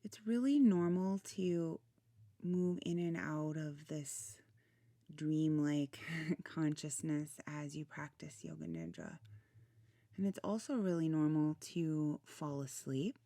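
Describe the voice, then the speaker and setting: Woman, meditation guide, soothing and unhurried, soft breathy endings, warm dark tone, close dry recording. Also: sits low, lively intonation, no room sound. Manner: soothing, unhurried, gentle phrasing with pauses. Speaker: meditation guide, channel host.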